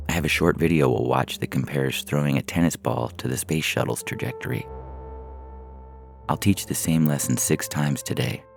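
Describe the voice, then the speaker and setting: A man reading a first-person short story as a narrator, in a measured, deliberate way, from a script. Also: very deep voice, vocal fry. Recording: music bed under the voice. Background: synthesizer music.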